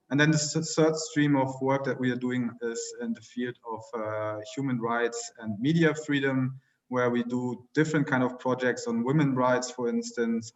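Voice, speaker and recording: A German man with a German accent introducing himself on a video call, speaking hesitantly with many ums. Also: mid-range voice. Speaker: foundation project director. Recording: distant, dull video-call audio.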